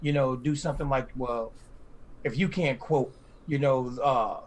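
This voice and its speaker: A man's voice: mid-range voice, fairly even intonation, a little slow. A man in his fifties or older talking emphatically in a casual discussion, repeating phrases for stress. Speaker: podcast panelist.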